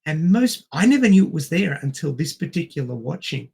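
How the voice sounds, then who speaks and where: Man, slightly high pitch, gravelly fry, strained voice, casual movie podcast host, halting delivery. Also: sing-song, darkish tone. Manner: halting, thinking aloud. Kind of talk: casual movie review chat.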